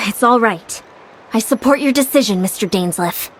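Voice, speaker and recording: A woman's voice: on the high side, lively intonation, normal speed, background noise, lightly acted. A woman voicing an upbeat, composed young female warrior strategist in a video game, polite, firm and businesslike. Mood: respectful. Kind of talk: video game character acting.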